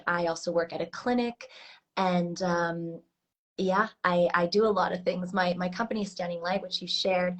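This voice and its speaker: A woman in her thirties with an American accent speaks in a fairly low voice. She talks earnestly and casually as an interview guest, with frequent fillers and restarts. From Switzerland. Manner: earnest, many restarts and fillers, laughs often. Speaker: coach, guest.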